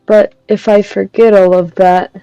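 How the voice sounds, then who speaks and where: A woman streaming a video game, reading dialogue aloud carefully and deliberately through a dull lo-fi microphone. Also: medium pitch, flat delivery, warm tone, smooth, no echo, faint music behind.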